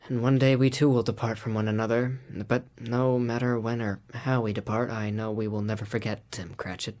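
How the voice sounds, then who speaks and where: A man playing a grieving gentle father. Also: medium pitch, natural voice. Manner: soft, consoling. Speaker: Bob Cratchit.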